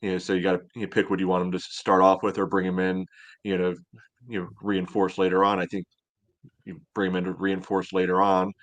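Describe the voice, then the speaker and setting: A man's voice: sits low, monotone, a little fast. A man co-hosting a podcast, talking soccer tactics casually and ramblingly, with frequent verbal filler. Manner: rambling, peppered with "you know". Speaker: podcast co-host.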